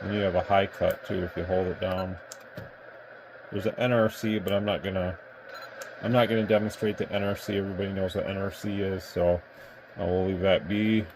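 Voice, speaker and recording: A man's voice: low voice, little pitch movement, a little fast, background noise. An American man giving a casual hands-on product review, explaining things in a rambling, matter-of-fact way. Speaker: radio shop owner and product reviewer.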